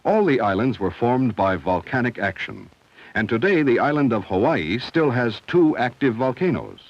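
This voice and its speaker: A man reading scripted narration for a 1970 educational film in a measured, instructional, clearly enunciated way. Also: mid-range voice, sing-song, no echo. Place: studio voice-over. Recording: dull, dry vintage film soundtrack.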